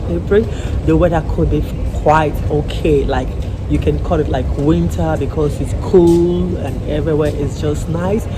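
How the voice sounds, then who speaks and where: A vlogger talks straight to the viewer in a mid-pitched voice, explaining things conversationally. Key words and phrases get repeated for emphasis. Loud outdoor city and traffic noise runs behind the speech. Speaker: vlogger answering questions about living abroad. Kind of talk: casual explainer vlog.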